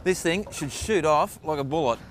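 A young man fishing from a small boat, joining the banter with quick excited remarks. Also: on the high side. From Australia. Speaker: fishing co-host. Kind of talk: casual banter while fishing. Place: small boat out on open sea. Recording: faint boat and sea noise.

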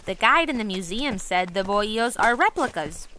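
A woman's voice: mid-range voice, animated, moderate pace, sharp tone, slight background noise. A woman playing a keen, bookish young girl, enthusiastic and inquisitive, in an audio drama. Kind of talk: naturalistic audio drama acting.

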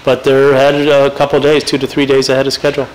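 A man giving halting, matter-of-fact explanations in an informal interview. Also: mid-range voice, completely flat delivery, rough recording. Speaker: interviewed golf course renovation expert.

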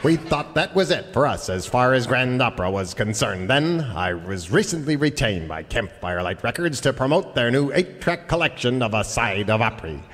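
A man's voice: medium pitch, expressive, normal speed, hardly any pauses, fairly bright tone, lightly acted. An actor playing a folksy, grandiose cowboy record salesman in a parody radio commercial. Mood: eager. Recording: live hall, faint audience.

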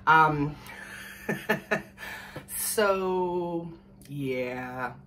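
A woman in her thirties from Canada, hoarse from a cold, chatting casually and rambling to the camera at home. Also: low voice, very slow, fairly bright tone, slight background noise. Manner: rambling, chatty, laughs often. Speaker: vlogger. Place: small room at home, indoors. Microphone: camera in front at desk distance.

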